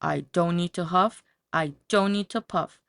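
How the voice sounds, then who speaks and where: A sneering big bad wolf from a children's storybook, acted out by a woman, sly and hungry. The delivery is theatrical and gloating, loud and gravelly, in a close dry recording. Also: on the low side, unhurried, no room sound. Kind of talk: children's storybook character reading.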